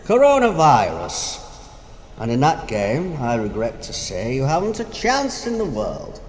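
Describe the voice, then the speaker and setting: An actor playing Death personified as a sly, taunting figure with grandiose, mocking declamation in a stage-like audio drama. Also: male voice, medium pitch, wildly swooping pitch, unhurried. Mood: wry. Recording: echoey hall, faint noise.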